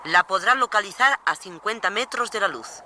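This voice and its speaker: A woman's voice: medium pitch, animated, moderate pace, pushed and bright, a little hiss or hum. An actress playing an urgent female scientist calling out instructions in a suspense radio drama.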